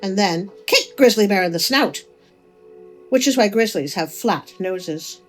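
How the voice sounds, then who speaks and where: A woman narrating a folk tale as a storyteller, with expressive delivery. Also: mid-range voice, unhurried. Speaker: storyteller, host of a story channel. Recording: music under the voice.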